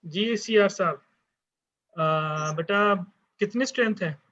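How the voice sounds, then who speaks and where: A man runs an online class, taking the roll in a calm, fatherly manner. His voice sits a little high for a man. The audio is dull and lo-fi, like a video call. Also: slow. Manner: calm, fatherly, addresses students as beta. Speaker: university lecturer.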